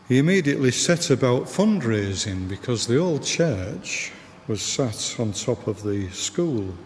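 A man with a Northern English accent, giving a slow, gentle guided tour inside a large church, recorded on a handheld camera. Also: lowish voice. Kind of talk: unscripted guided tour voice-over. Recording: reverberant church hall, faint background noise.

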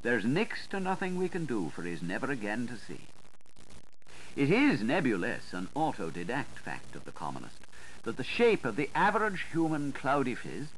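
A man's voice: slight background noise, poor audio. A man narrating a literary audiobook in a theatrical, declamatory way, on a 1980s recording. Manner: animated, highly inflected, declamatory delivery.

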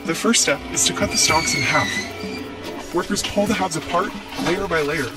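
A man giving scripted documentary narration in an even, explanatory way. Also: a little high, normal speed. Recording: distant voice with clear music bed.

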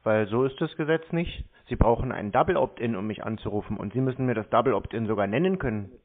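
A man's voice: mid-range voice, quick pace. A man chatting casually on a phone call in a laconic, dry, needling way. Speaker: scam-baiter taking calls. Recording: muffled narrow phone-call sound.

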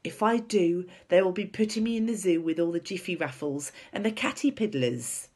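A woman's voice: lowish voice, moderate pace, darkish tone, breathy edge, voice pushed up. A woman voicing a big, friendly but stubborn male giant who talks in muddled grammar and invented words for a storybook. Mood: firm, resigned.

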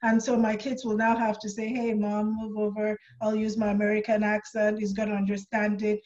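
A woman's voice: mid-range voice, completely flat delivery, brisk, darkish tone. A woman with a Nigerian British accent speaking earnestly and emphatically as a panelist and educator on a video call from a room at home. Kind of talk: panel discussion. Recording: muffled video call audio.

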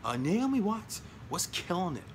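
A man in his thirties chatting casually at home about his film collection, rambling with lots of fillers and jokes, his voice going hoarse. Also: sits high, big pitch swings, moderate pace, slight background noise. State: losing his voice. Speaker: collection vlogger. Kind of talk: casual home video chat. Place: small room at home, indoors. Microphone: phone or webcam at arm's length.

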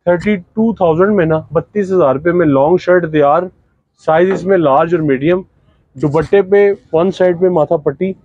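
A young man running a bridal dress shop, showing outfits and pitching prices in a chatty, teasing salesman's way. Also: moderate pace, dry recording, narrow, tinny audio. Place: busy indoor dress shop.

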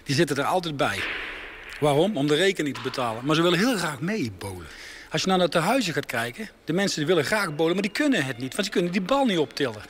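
A man with a fairly high voice explains his business plainly and earnestly to a radio reporter. He speaks into a handheld mic inside a bowling alley. The on-location recording carries clear background noise from the hall. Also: big pitch swings, fast talker, fairly bright tone. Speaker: bowling lane entrepreneur. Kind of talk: radio interview answers. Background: bowling alley ambience.

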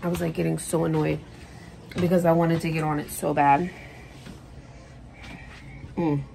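A woman's voice: sits low, little pitch movement, unhurried, creaky, warm tone, slight background noise, low-quality recording. A woman in her thirties chatting casually in a rambling, sweary personal vlog recorded in a room at home. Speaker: vlogger. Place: small indoor room at home.

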